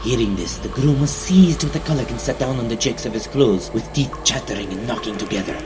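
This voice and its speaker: A man's voice: medium pitch, brisk, noisy background. A man narrating a scripted dramatic tale in a formal, archaic, theatrical storytelling manner.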